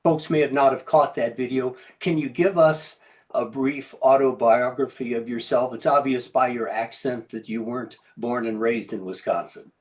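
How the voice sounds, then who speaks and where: A man's voice: medium pitch, steady tone, moderate pace. A man hosting an interview, reading a friendly introduction and asking his guest questions. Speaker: interview host.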